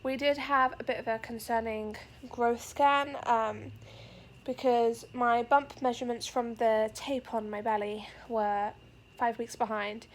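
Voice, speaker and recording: A woman's voice: fairly high pitch, steady tone, unhurried. A young pregnant woman, a little out of breath, giving an earnest, rambling update to her camera with many fillers. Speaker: vlogger. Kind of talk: casual pregnancy update vlog. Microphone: camera facing the speaker.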